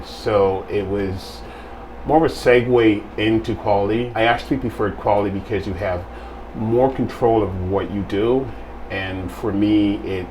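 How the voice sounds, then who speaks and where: A middle-aged man from Barbados, seated in an interview and explaining his work in a measured way, with frequent pauses and fillers. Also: on the low side, darkish tone, a little hiss or hum, rough recording. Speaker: guest, quality assurance technician. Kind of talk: casual interview answers. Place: small studio room with plain wall.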